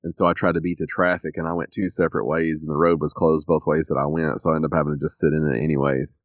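A man co-hosting a podcast, sniffly and a little raspy from allergies, rambling with many ums. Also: very deep voice, little pitch movement, quick pace, dry recording, muffled recording. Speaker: podcast co-host. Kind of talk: casual chat.

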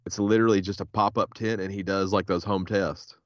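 Man, very low voice, head cold, laid-back joking podcast host, dull muffled audio. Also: fairly even intonation, fairly quick, dry recording. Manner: laid-back, joking, says "hell yeah" often. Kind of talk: casual chat.

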